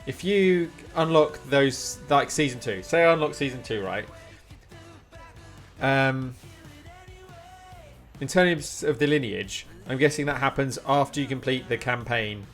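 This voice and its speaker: A man with a medium-pitched voice chats casually while streaming a game. He thinks aloud, reads menus out and puts questions as he goes, with guitar music playing behind him. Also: measured pace, long pauses. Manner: thinking aloud, questioning, reading menu items out.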